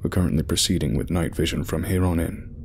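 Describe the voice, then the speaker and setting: A man playing a shaken scientist who records a tense log deep inside a cave. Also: fairly even intonation, fast, creaky, slight background noise. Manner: low hushed whispering, tense. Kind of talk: horror audio drama narration.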